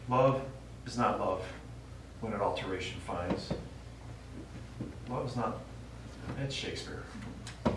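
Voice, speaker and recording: An actor playing a stubborn elderly widower, a war veteran and retired teacher who reminisces and quotes verse in a stage play. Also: male voice, mid-range voice, a bit bright. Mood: wistful. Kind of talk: live stage play acting. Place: small indoor theatre stage. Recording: echoey theatre, distant mic, faint noise.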